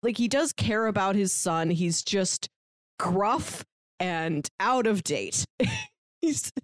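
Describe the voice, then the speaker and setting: A woman co-hosting a podcast, casually discussing a book in a reflective, analytical way, pausing to find words. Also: medium pitch, wildly swooping pitch, dry recording. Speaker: podcast co-host.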